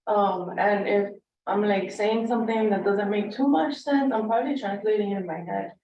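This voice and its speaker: A woman's voice: mid-range voice, moderate pace, dark tone, slight background noise. A Cuban-born woman whose first language is Spanish, introducing herself casually at a public board meeting, with many fillers. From Cuba. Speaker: board member candidate. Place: meeting room. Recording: echoey room, distant mic, dull sound.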